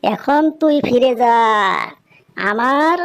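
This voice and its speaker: A woman's voice: sits high, takes it slowly, smooth. A woman voicing a stern, easily angered male hermit guru in a cartoon, scolding and commanding. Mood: stern, relenting. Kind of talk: Bengali cartoon character dialogue.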